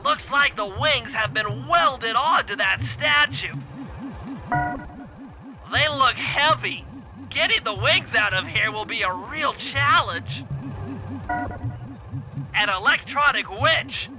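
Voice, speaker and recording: A nerdy turtle mastermind, very high-pitched and nasal, briefs a heist with fussy precision. The cautious, earnest cartoon game delivery sits over clear background music. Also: male voice, heavily acted, poor audio.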